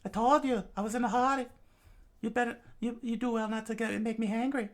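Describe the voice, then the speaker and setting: A man voicing a cold, menacing woman assassin in a high falsetto. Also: steady tone, fairly quick. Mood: cold threatening.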